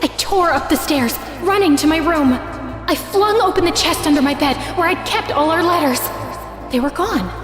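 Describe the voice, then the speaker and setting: A woman's voice: extremely high pitch, normal speed. A woman playing a passionate, wronged young stepsister, furious and heartbroken, telling her story dramatically. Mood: tense, sorrowful. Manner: intense, emotional delivery. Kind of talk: dramatic first-person story narration. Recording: reverberant voice over prominent music.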